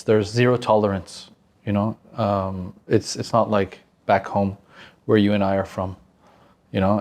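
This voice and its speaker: Low-voiced Canadian-raised man, talks fast. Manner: animated, quick bursts, restarts. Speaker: guest, real estate agent. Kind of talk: casual interview chat.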